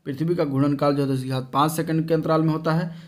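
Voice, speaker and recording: A man gives an exam coaching lesson in a slightly high-pitched voice. He reads from study notes with short explanatory asides, halting and stumbling now and then over technical terms. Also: steady tone.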